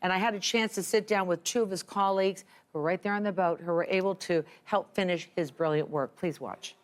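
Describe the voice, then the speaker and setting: A woman's voice: on the low side, brisk. A woman hosting a daytime TV talk show, asking her guests questions. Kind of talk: daytime TV interview. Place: TV studio with audience.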